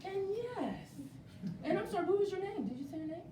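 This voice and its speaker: A woman's voice: animated, quick pace. A woman hosting a casual group interview, encouraging and often repeating words in affirmation. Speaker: host interviewing a stage play cast. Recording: echoey room, distant mic, rumbling noise.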